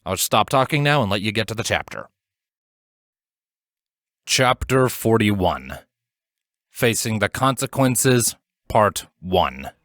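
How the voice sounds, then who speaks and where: Low-voiced man narrating a story. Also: expressive, slow pace, long pauses, fairly bright tone, dry recording, studio quality. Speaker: fan fiction narrator and voice actor.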